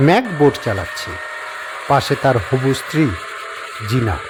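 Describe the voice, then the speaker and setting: Calm male audio-story narrator. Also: medium pitch, moderate pace, noisy background. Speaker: narrator and director.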